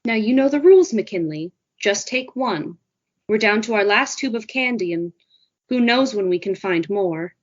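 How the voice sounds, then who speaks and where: A woman's voice: medium pitch, animated, normal speed, highs cut off, lightly acted. An actress playing a kindly but controlling older female teacher, sweet and coaxing yet insistent, in an audio drama.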